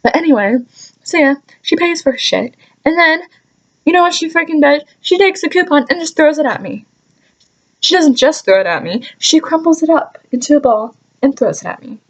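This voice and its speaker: A young adult woman telling casual, chatty stories in an animated, exasperated way, with sarcastic asides and mild swearing. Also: sits high, moderate pace, dull audio. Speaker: storytelling vlogger. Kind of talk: casual anecdote vlog, chatty.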